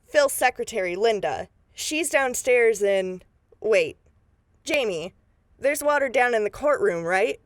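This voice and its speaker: A woman's voice: a little high, expressive, a little slow, dry recording, clean studio sound. A woman playing a businesslike, take-charge deputy investigator in a radio drama, plain-spoken and matter-of-fact. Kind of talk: scripted radio drama acting.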